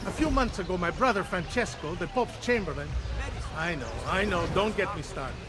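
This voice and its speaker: An actor playing a talkative, debt-ridden senator in a video game, with an Italian accent and a theatrical, rambling, wisecracking delivery. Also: male voice, high voice, expressive, moderate pace. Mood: rueful, chatty.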